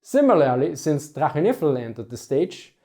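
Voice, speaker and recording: Austrian man with a mellow voice. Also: on the high side, big pitch swings. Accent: Austrian German. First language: German. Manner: measured and explanatory, with self-corrections. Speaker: history channel host. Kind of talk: reflective talk to camera.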